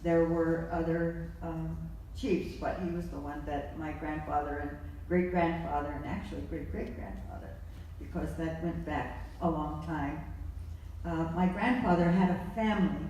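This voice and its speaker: A panelist speaking quietly and haltingly, telling a family story at a live discussion. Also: mid-range voice, fairly even intonation. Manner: soft-spoken, halting, self-deprecating storytelling. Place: large museum hall. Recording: echoey hall from far off, faint noise.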